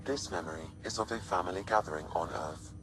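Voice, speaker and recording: A man's voice: sits low, fast talker, music in the background, lightly acted. An actor playing an efficient, helpful artificial intelligence in a video game, a man's voice. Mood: calm, informative. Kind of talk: video game cutscene acting.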